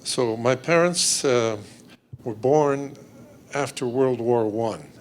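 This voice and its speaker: A man's voice: medium pitch, takes it slowly, a little hiss or hum. Older man recalling family, many uhs. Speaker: round table panelist. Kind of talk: personal account.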